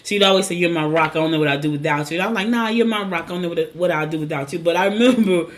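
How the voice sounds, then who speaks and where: A middle-aged woman from New Jersey hosting a morning show, her voice raspy and scratchy as she rambles through personal stories. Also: fairly low pitch, rapid-fire. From Newark, New Jersey. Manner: rambling, chatty, warm personal storytelling. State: hoarse, clearing throat. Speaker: podcast host. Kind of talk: casual morning show chat.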